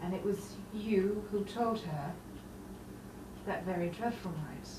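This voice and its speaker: An actress playing a solemn, burdened sister bearing tragic news, with a grave, measured theatrical delivery. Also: female voice, medium pitch, close to an everyday voice. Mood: grave, sorrowful. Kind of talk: 1950s-style romantic drama acting. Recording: roomy, distant, clearly noisy stage sound.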